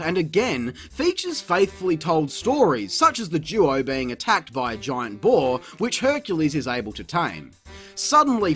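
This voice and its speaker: A man's voice: a little high, fairly bright tone. A man giving a sarcastic, exasperated, sweary comedic review as a voice-over. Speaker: video reviewer.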